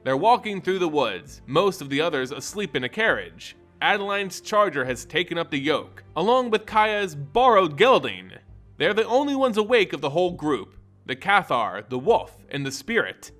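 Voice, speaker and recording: A man narrating a fantasy story from a script in a theatrical, deliberate way, with emphatic phrasing. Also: on the high side, big pitch swings, fairly bright tone. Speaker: lore video narrator and channel host. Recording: voice over background music. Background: music.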